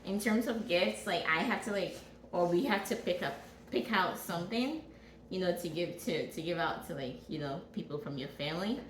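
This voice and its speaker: A woman's voice: on the low side, lively intonation, normal speed. A woman from Ghana chats casually as a vlog co-host, hesitating and repeating herself as she searches for words. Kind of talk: casual chat with partner. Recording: roomy echo, clear background noise.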